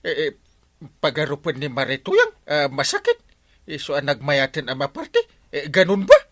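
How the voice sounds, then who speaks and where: A man with an Ilocano accent playing an excitable, exasperated village captain in a radio comedy drama, stammering and exclaiming. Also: a little high, normal speed, exaggerated voice. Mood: flustered surprise.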